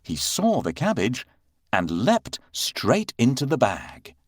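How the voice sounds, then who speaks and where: A man narrating a scripted children's story in a measured, expressive storyteller's manner. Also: mid-range voice, husky, no room sound, clean studio sound.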